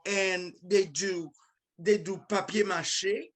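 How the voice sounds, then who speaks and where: A man's voice: sits high, steady tone, slow. A man with a Haitian accent, a musician guest talking earnestly in casual conversation and often restarting and repeating words.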